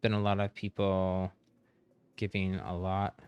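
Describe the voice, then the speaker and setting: A man's voice: low-pitched, little pitch movement, a little slow, smooth, no room sound, muffled recording. A man chatting casually on a game livestream in a rambling, easygoing way. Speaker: live streamer.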